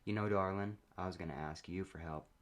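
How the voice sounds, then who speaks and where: A man voicing a smooth-talking, creepy diner in a comic story, speaking in a hushed, drawn-out way. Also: sits low, fairly even intonation, natural voice. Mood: suave, hushed.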